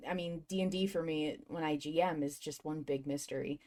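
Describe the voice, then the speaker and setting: A woman's voice: sits low, steady tone, fairly quick, darkish tone. A woman hosting a fan podcast in casual, effusive, enthusiastic chat. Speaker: podcast host.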